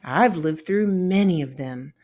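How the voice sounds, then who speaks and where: A woman voicing a gentle old male stag beetle. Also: deep voice, lively intonation, measured pace. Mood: gentle, reassuring. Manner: gentle, soft-spoken, grandfatherly. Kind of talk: children's storybook read-aloud.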